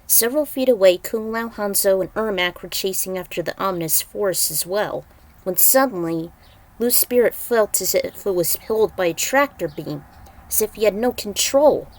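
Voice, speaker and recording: A woman narrates an action-filled fantasy tale in a dramatic storytelling style. Her voice sits at a medium pitch for a woman. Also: animated, moderate pace, darkish tone, poor audio. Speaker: narrator reading fan fiction.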